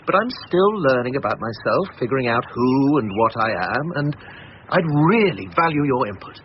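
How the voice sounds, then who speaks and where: A muffled, noisy radio drama recording carries a male actor as a touchy-feely son-in-law. He is anxious and painfully sincere, hesitating over his words, with a very lively rise and fall. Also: medium pitch, moderate pace, lightly acted. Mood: earnest, soulful. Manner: earnest therapy-speak, fussy and hesitant.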